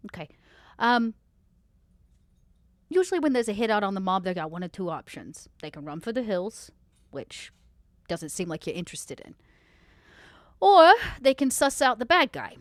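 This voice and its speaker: A woman's voice: mid-range voice, sing-song, normal speed, long pauses, dry recording, natural voice. A woman playing a shrewd, protective vampire fixer in improvised role-play, with a folksy, slangy, coolly threatening manner. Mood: shrewd, advising.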